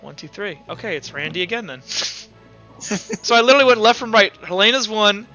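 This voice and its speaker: A man's voice: sits high, lively intonation, a little fast, lo-fi sound, over music. A man working as game master, casually improvising narration for a tabletop roleplaying game.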